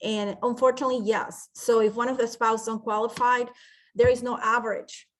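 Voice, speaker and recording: Woman, middle-aged; friendly banking presenter, chatty, slightly high voice. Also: level tone, brisk. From Ecuador. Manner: chatty, laughs while telling stories. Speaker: bank presenter. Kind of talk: informal webinar presentation.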